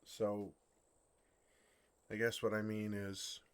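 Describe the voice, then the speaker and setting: A man voicing a bashful, earnest dragon in love in an ASMR fantasy roleplay, speaking tenderly with hesitant, awkward pauses. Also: lowish voice, monotone, clear, resonant tone.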